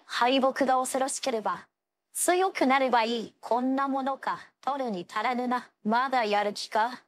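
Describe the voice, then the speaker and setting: A woman's voice: high voice, moderate pace, lightly acted. An actress playing a proud, stern young female sword fighter with ice powers in an anime fighting game, speaking in an archaic, commanding manner. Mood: stern, resolute. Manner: archaic, haughty, commanding phrasing.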